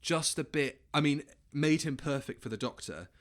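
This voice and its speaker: Man chatting casually as podcast host. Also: on the high side. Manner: halting, sweary, self-correcting. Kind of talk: casual commentary chat.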